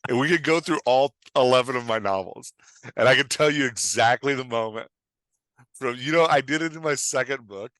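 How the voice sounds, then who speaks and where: Male author-coach, middle-aged. Bright, forceful, lowish voice, dry close sound. Emphatic conversational explainer. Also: animated, fairly quick, dry recording, rough recording. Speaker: author and writing coach, podcast guest. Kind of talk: animated conversational explanation and storytelling.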